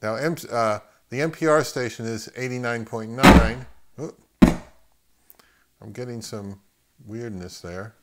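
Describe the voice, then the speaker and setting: A middle-aged man giving a casual, unscripted gear demonstration, thinking aloud in halting phrases as he handles the equipment. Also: mid-range voice, a bit bright, slight background noise. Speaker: host of an audio gear review channel.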